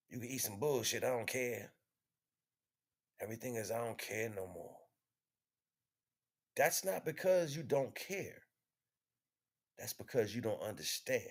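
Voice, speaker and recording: A man delivering an impromptu spiritual monologue in an emphatic, repetitive, slangy street-preacher manner, with a husky voice. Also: medium pitch. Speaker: spiritual teacher streaming online.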